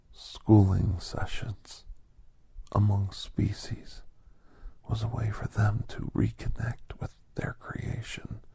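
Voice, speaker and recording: A man voicing a gentle, reflective doctor who tells an ASMR holiday story in a breathy, hushed whisper with deliberate pauses. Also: on the low side, dry recording, full character voice. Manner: hushed, deliberate, with long pauses between short phrases. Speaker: narrator of an ASMR story podcast.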